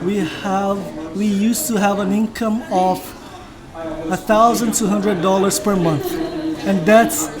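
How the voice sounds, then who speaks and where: A man's voice: sits high, steady tone, takes it slowly, a crowd in the background. A young Brazilian man with a Portuguese accent, a missionary guest speaking earnestly in simple phrasing. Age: young adult. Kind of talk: casual interview. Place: cafe, indoors, busy.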